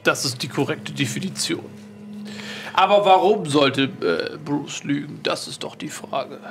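A man playing a dry gentleman lord who speaks in a deliberate, pedantic manner in an audio drama. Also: a little high, vocal fry, soft background music. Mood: dry pondering.

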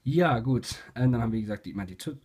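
Young man, has a cold, husky voice, mellow tone, halting with fillers, casual review. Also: medium pitch, animated. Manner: halting, many fillers and restarts. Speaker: YouTube reviewer. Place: indoors at a table, voice-over with hands on camera.

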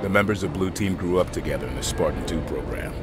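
An actor playing a steady, resolute armored squad leader in a video game, with a commanding, earnest delivery. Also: male voice, quick pace, close to an everyday voice. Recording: loud music and battle rumble behind.

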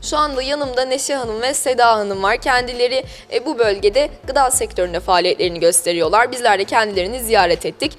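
A woman's voice: on the high side, brisk, few pauses. A woman hosting a local TV interview in a chatty, polite, wordy presenting style. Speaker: TV programme host interviewing business owners. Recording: music behind the voice. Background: background music.

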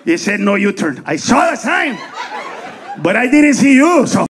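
A man's voice: high voice, sing-song, normal speed, background noise. A man on stage playing a panicked immigrant driver pleading with a police officer, mixing in Spanish words. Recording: live stage with loud crowd laughter.

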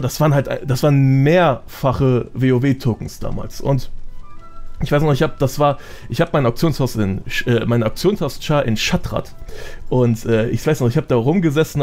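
A man's voice: mid-range voice, normal speed, darkish tone, faint music behind. A young man as a podcast guest, telling anecdotes in a casual, slangy way. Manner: slangy, chatty.

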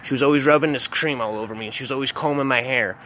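A man telling a dark first-person story in a casual, rambling way, often adding asides and calling the listener "man". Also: mid-range voice, rapid-fire, slight background noise.

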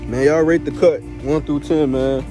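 A man's voice: fairly high pitch, steady tone, moderate pace, music in the background. A teenage boy vlogging on his way to class and joking through interviews, talking loose and slangy and laughing often. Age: teens. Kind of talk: casual vlog chat and joking interviews. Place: school hallways and grounds.

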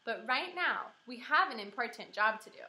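A firm but patient kindergarten teacher from a storybook voiced by a woman reader addresses the class. The voice is high and bright, with deliberate, instructing delivery. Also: animated, echoey room. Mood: patient, announcing.

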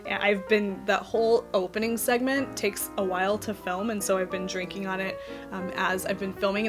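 Chatty woman host with a cold. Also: mid-range voice. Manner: chatty, many ums, frequent light laughs. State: has a cold, slightly nasal. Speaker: knitting podcast host. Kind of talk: casual chat to camera. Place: cozy home office with string lights.

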